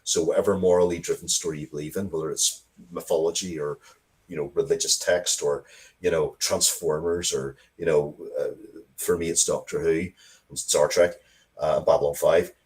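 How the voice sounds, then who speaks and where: Male interview guest, very deep voice, informal, opinionated, emphatic delivery with repeated words. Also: fairly even intonation, moderate pace, a little mellow. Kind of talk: casual, opinionated interview talk.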